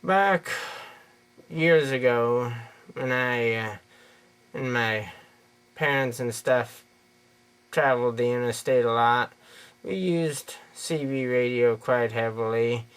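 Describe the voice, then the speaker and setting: A man's voice: mid-range voice, takes it slowly, long pauses, fairly bright tone, clean tone. An American man giving an unscripted opinion monologue in a rambling, folksy, plain-spoken way. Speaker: video creator giving an opinion.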